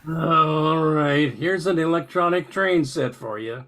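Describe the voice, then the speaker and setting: An older man playing an impatient, gravelly crook disguised as Santa in an amateur radio play. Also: on the high side, slow pace, very noisy. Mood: impatient, grudging.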